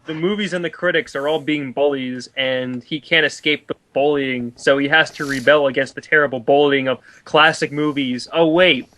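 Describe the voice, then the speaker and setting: A man's voice: on the high side, steady tone, normal speed, few pauses, bright, forceful tone. A man co-hosting a film commentary, sarcastic and exasperated as he reads and reacts casually. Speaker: co-host of a film commentary show.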